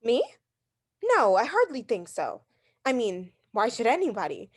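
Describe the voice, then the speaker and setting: A woman's voice: fairly high pitch, wildly swooping pitch, a little slow, breathy edge, full character voice. A woman playing a neurotic, imperious, anxious bedridden wife in a radio drama, insistent and fretful on the phone. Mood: dismissive, self-satisfied. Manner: insistent, fretful, stammering when challenged. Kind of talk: 1940s radio drama acting.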